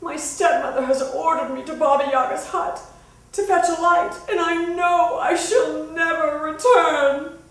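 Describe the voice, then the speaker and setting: A woman's voice: high-pitched, steady tone, unhurried, fairly bright tone, voice pushed up. A woman voicing a meek, polite young girl in a folktale, timid and earnest. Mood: despairing. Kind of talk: dramatic folktale storytelling. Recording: very echoey room, distant mic.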